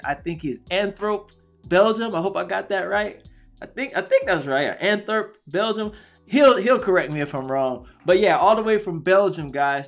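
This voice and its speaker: A man hosting a casual talk show interview, chatty and hyped, repeating himself often. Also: on the high side.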